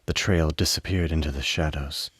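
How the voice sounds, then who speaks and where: A man with a low voice narrates a radio drama. His reading is measured and literary, recorded close and dry. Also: fairly even intonation, fairly bright tone, slightly rough, no echo. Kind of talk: scripted radio play narration.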